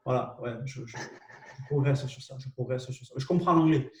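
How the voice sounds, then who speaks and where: A man's voice: mid-range voice, normal speed, roomy sound, distant mic, a little hiss or hum. A man with a southwest French accent presents tools on a live webinar, talking hesitantly with lots of fillers and repeats, and often checks in with his listeners. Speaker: co-host presenting marketing tools.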